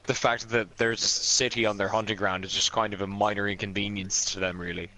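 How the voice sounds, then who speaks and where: A man's voice: on the low side, flat delivery, brisk, bright, forceful tone, poor audio. A man playing an online tabletop role-playing game, talking casually and bluntly with plenty of swearing, over background noise. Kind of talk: casual in-character play and recap.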